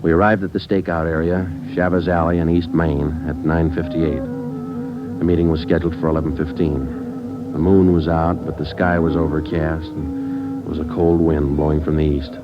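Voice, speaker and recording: A man's voice: very deep voice, level tone, moderate pace. An actor playing a terse, businesslike police sergeant narrating his case in a mid-century radio crime drama, clipped and matter-of-fact. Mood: matter-of-fact, grave. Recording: dull old radio broadcast with music.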